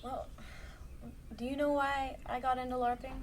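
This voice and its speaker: A woman playing a gentle, earnest, nerdy young larper in an audio drama. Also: high-pitched, level tone, normal speed, slight background noise, close to an everyday voice. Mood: confiding. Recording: music under the voice.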